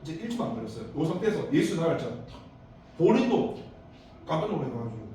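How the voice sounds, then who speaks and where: A man's voice: a little high, very animated, fast, dark tone, distant mic, a little hiss or hum. A man with a Daegu accent preaching a youth service sermon, telling stories with sudden bursts of emphasis and rambling asides. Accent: Daegu Korean. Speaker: pastor. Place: church sanctuary. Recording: echoey church hall, preacher off-mic.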